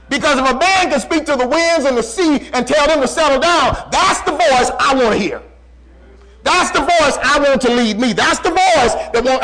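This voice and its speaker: A male preacher with a very high-pitched voice delivers a fervent sermon. He leans hard on key phrases, repeats them, and keeps putting rhetorical questions to his listeners. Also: fairly bright tone, low-quality recording. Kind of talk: fervent call-and-response sermon.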